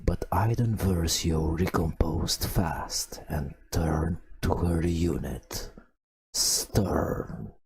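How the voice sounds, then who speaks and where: A man telling a bedtime story in an ASMR style, in a hushed breathy whisper with drawn-out phrases and long pauses. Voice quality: breathy whisper with creaky low murmur. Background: video game ambience.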